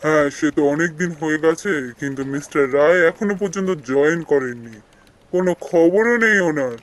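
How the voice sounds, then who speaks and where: A man plays an office boss in an animated story. He is formal and businesslike, giving orders with authority in a moderately high male voice. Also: fairly quick, natural voice. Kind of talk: animated cartoon story acting.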